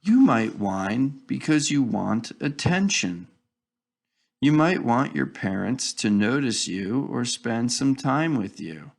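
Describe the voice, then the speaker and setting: A man reading a children's picture book aloud in a deliberate, instructive way, as if to young children. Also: mid-range voice, vocal fry. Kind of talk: read-aloud storybook narration.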